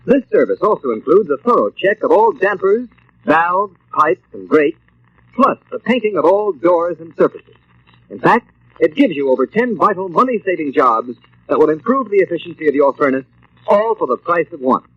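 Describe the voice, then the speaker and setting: A man's voice: on the high side, very animated, normal speed, husky. A man announcing a scripted 1940s radio advertisement with an emphatic, formal sales delivery. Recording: muffled, noisy old radio recording.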